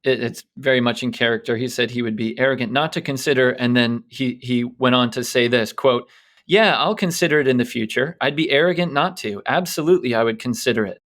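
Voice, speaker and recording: A man's voice: mid-range voice, fairly quick, a bit bright. A man co-hosting a podcast, casually retelling news stories in a bantering talk style. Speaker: co-host presenting a news quiz segment.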